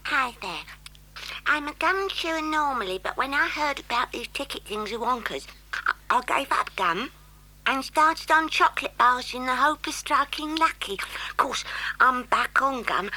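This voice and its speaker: Brash, sharp, husky schoolgirl. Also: sits high, expressive, normal speed, full character voice, low-quality recording. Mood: brash, boastful. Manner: chewing while talking. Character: brash, boastful gum-chewing schoolgirl. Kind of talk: audio drama acting.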